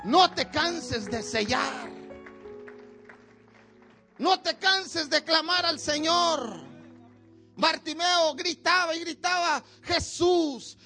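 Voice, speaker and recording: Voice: very high-pitched, slow, long pauses, pushed and bright, soft background music. A preacher delivering a sermon at a church service, with emphatic, repetitive exhortation.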